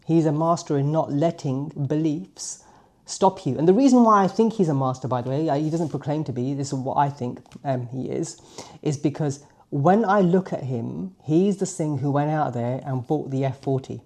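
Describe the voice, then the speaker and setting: A man in his late forties speaks with a British accent, chatting to the camera in a loose, jokey way and throwing in self-aware asides. His voice is warm and mellow. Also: a little high, moderate pace. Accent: British English. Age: 40s. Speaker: vlogger. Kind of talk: casual chat to camera.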